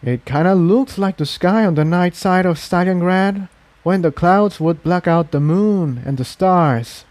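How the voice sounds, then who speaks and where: A man voicing a sharp-tempered female unicorn with a waspish, defensive way of talking in a storybook reading. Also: sits high, unhurried, pitched above the performer's own voice. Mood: absent wonder. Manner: waspish, forceful.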